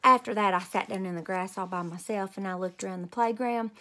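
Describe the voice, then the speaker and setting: A woman reading a storybook aloud as a children's host, expressive and talking to young listeners. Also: mid-range voice, fairly quick. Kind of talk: animated storybook read-aloud.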